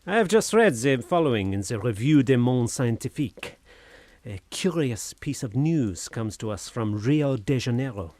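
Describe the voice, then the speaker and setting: Horror radio play lead: tormented man male actor, husky, highly animated, theatrically intense and obsessive. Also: dry recording, natural voice. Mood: obsessive, uneasy. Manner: intense, theatrical, halting then feverish. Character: tormented, obsessive Frenchman haunted by an invisible being. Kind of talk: audio horror drama acting.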